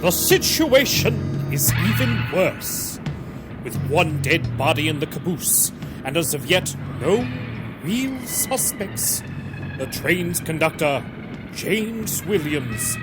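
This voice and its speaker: A man narrating a radio mystery drama in a dramatic, measured scripted style. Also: a little high, sing-song. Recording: narration over loud music.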